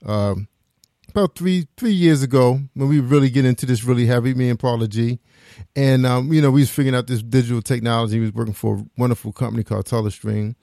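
A man's voice: medium pitch, a little mellow, no room sound, clean studio sound. A man hosting an internet radio talk show in a casual, informal conversational style, often repeating his phrases.

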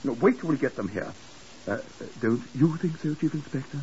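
A man's voice: medium pitch, animated, moderate pace, darkish tone, natural voice. An actor playing a flustered, insistent hotel man, fussy and deferential, in a 1950s radio drama. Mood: agitated, insistent. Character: fussy, excitable hotel man, jumping to conclusions. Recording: muffled, hissy 1950s radio recording.

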